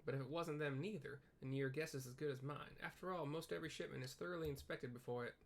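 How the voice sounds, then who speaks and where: A man's voice: fairly high pitch, fast talker, creaky. Man putting on a blunt, folksy loyal working man. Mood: defensive. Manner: folksy rustic dialect, blunt. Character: gruff, loyal working-class male quartermaster, indignant.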